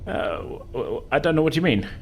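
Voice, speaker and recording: Man playing a nervous stammering boy, pitched up. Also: lively intonation, brisk, slight background noise. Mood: nervous, evasive. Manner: stammering, hesitant. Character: timid, nervous young son of the overseer.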